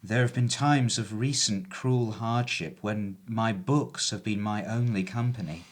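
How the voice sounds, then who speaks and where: A man's voice: on the low side, a little slow. An actor playing an earnest, deferential young errand boy of the 1820s who speaks formally. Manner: formal and deferential, ends lines with sir. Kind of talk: amateur stage play audio.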